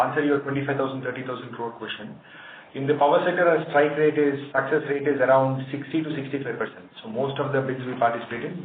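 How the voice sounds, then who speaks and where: Man, Indian accent, company executive, conference call answers, tinny line, roomy echo, noisy. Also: mid-range voice. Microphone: conference phone line. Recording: tinny phone line, echoey room, noisy.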